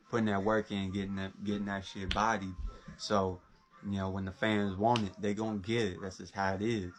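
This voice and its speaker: A young man from talks in a low, even voice that barely rises or falls. He rambles casually and drops plenty of street slang as he speaks about himself. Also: slight background noise. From Orlando, Florida. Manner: laid-back street slang, loose and rambling. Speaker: rapper. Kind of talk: casual first-person talk.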